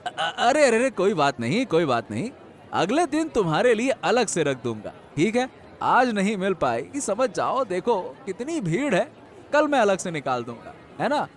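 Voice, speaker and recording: A man's voice: high-pitched, sing-song, moderate pace, some chatter behind. A man voicing a busy, good-natured village fisherman in a cartoon story, repeating himself as he goes. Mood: placating. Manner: repetitive, placating, calling out.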